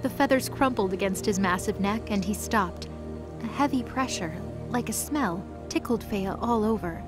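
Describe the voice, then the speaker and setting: A woman's voice: medium pitch, moderate pace. A woman reading scripted story narration as the narrator of a mythology audio drama. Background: music.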